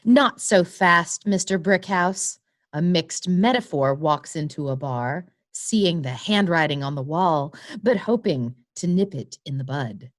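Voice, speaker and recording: Poised female attorney, slightly low pitch, articulate, formal, competitive, comic noir radio play. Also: expressive, measured pace. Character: poised, precise woman attorney, competitive and haughty client.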